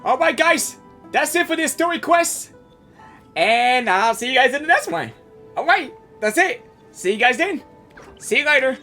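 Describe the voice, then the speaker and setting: A woman streams her reactions to a video game in casual, informal speech. Her pitch moves around a lot as she talks back to the characters, with faint music behind her. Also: expressive, normal speed, pushed and bright.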